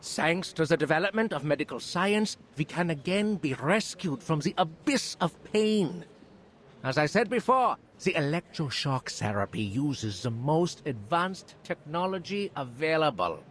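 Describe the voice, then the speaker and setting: A man's voice: a little high, big pitch swings, measured pace, a bit bright. A man playing a showy physician in a video game, addressing an audience in a formal, grandiloquent, theatrical manner. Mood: grand, showy. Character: showy male physician presenting a demonstration to an audience. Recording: clear background ambience noise.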